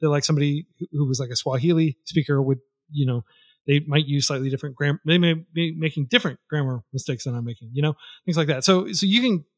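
A man with a somewhat high-pitched voice talks freely as a podcast guest. He rambles and restarts often, stringing thoughts together with fillers. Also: quick pace. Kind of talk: casual podcast conversation.

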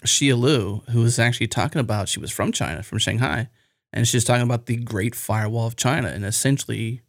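A man's voice: medium pitch, brisk, gravelly, dry recording, studio quality. A man hosting a podcast in casual conversation, curious and given to rambling asides. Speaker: podcast host.

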